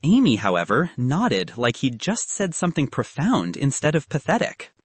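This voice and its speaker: A man's voice: medium pitch, wildly swooping pitch, moderate pace, breathy edge, no echo. A man reading scripted fiction as a narrator, moving between narration and acted dialogue. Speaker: audiobook narrator.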